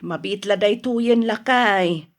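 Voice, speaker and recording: An actress playing a put-upon wife who answers back, in an Ilocano radio drama, with an Ilocano accent and a husky voice. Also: female voice, medium pitch, takes it slowly, close to an everyday voice. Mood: defensive.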